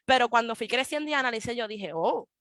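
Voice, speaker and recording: A woman's voice: on the high side, expressive, fast talker, a bit bright, dry recording, studio quality. A young woman from Puerto Rico with a Puerto Rican Spanish accent, a guest speaking reflectively with frequent hesitations and English asides. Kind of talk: casual conversation.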